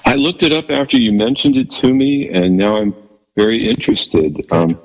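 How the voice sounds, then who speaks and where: A man with a low bass voice, chatting as a guest in an interview, speaking haltingly and modestly with many restarts. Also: sing-song, gravelly, in a large hall, poor audio. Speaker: guest musician. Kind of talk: casual interview chat.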